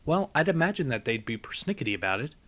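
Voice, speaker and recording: A man's voice: mid-range voice, very animated, fast, bright, forceful tone. A man voicing a bookish, polite young female pony scholar with precise, wordy speech in a storybook reading. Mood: earnest.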